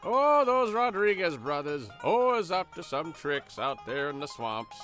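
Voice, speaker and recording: A man's voice: a little high, big pitch swings, a little slow, a bit bright, faint music behind. A man voicing a crooked, bullying small-town deputy with folksy bluster in a horror radio play. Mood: smug, dismissive.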